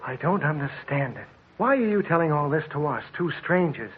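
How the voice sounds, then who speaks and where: A frightened traveler who talks with urgency and pleads to be believed. His voice is high and husky. It comes through a thin, tinny old radio recording. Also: big pitch swings, moderate pace. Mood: urgent. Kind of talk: 1940s radio acting.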